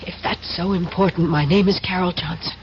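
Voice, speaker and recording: A woman's voice: lowish voice, fairly even intonation, fairly quick, close to an everyday voice. An actress playing a mysterious, insistent woman in an earnest 1970s radio drama style. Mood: urgent, insistent. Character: urgent, mysterious woman warning a man in danger. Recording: muffled, lightly hissy old radio recording.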